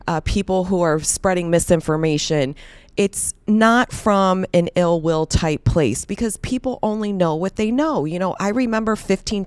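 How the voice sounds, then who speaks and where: A middle-aged woman life coach with a hoarse voice from a cold, giving a blunt, emphatic casual talk to camera that is peppered with swearing. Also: lowish voice, no echo, studio quality.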